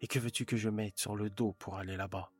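A man's voice: on the low side, little pitch movement, unhurried, no room sound, soft background music. A man voicing a discontented, bitter young wife who speaks in a plaintive, resentful way.

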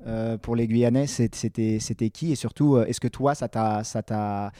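Mellow-voiced male sports interviewer. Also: medium pitch, steady tone, normal speed, no echo, studio quality. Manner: friendly, rambling questions with restarts.

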